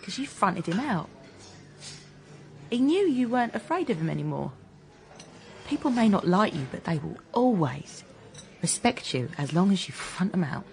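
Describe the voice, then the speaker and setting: An actress with a British accent playing a brash, teasing, guarded young ex-soldier in naturalistic radio drama, blunt and deflecting. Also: female voice, medium pitch, big pitch swings, normal speed, gravelly, long pauses, darkish tone. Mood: blunt, fond.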